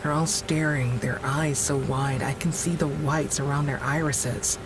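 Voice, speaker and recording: An actor plays a weary, observant field operative recounting a rescue in an audio drama, in measured, halting phrases. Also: fairly even intonation, natural voice, poor audio. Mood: sober, observant. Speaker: narrator and field worker character. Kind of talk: audio drama narration, log-like account. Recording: music bed and engine rumble behind. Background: music, vehicle sounds.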